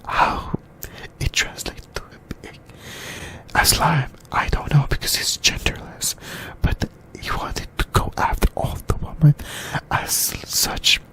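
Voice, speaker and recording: A host whispering an ASMR discussion in a breathy, unvoiced voice, speaking in halting short phrases and repeating words. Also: sharp tone. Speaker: host of an anime discussion channel.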